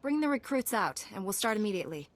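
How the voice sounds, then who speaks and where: An actress playing a calm, self-assured warrior heroine in a video game, speaking in a composed, measured way. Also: female voice, mid-range voice, natural voice. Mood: calm assurance.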